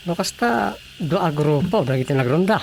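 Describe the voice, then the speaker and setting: A man's voice: on the high side, animated, moderate pace, creaky, darkish tone, a little hiss or hum. A man playing an obliging adult son in a comic radio drama, giving brief, agreeable replies.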